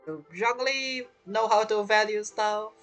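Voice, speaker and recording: A man's voice: high voice, a little slow, a bit bright, soft background music. A man giving casual gameplay commentary as a streamer, reacting briefly to the dialogue.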